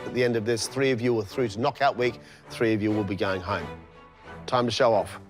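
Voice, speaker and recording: A man's voice: on the low side, steady tone, brisk. A man presenting and judging a cooking competition in an upbeat, announcing manner. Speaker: judge. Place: TV studio kitchen. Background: background music.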